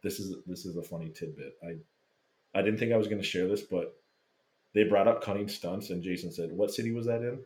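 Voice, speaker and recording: Deep-voiced man telling a story, roomy sound. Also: brisk, a little hiss or hum. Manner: animated, swearing, acts out quotes. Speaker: podcast guest telling a fan story. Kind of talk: casual storytelling chat. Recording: roomy echo.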